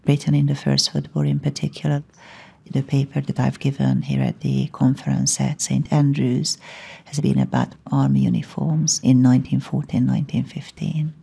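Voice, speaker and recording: A woman from Hungary, a historian and university lecturer giving a measured, explanatory academic talk at a conference. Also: sits low, creaky, warm tone, breathy edge. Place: conference venue.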